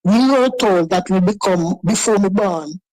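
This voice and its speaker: A man's voice: high voice, moderate pace, a little mellow, no room sound, poor audio. A man calling in to a talk show, defending his point with lots of repeats and stumbling restarts. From Jamaica. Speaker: caller. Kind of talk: phone-in debate.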